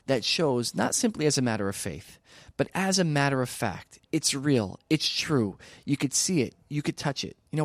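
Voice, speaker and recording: A man's voice: mid-range voice, no echo. Emphatic man arguing a case, dry sound. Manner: emphatic, rhetorical questions, deliberate pauses for stress. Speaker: interview guest, archaeology advocate. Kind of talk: persuasive spoken argument in a radio interview.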